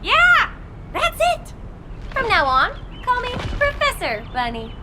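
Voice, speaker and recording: A woman's voice: extremely high pitch, wildly swooping pitch, slow, very noisy, full character voice. A woman voicing a perky, cocky young woman in a video game, with a playful, smug, exclamatory manner. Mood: triumphant. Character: perky, cocky young woman calling herself Professor Bunny. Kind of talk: animated video game voice acting.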